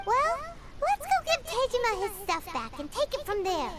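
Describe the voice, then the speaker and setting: A woman voicing a small, childlike, chirpy and curious girl companion in a video game. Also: moderate pace. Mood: pondering. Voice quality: squeaky, childlike. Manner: chirpy, expressive. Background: music.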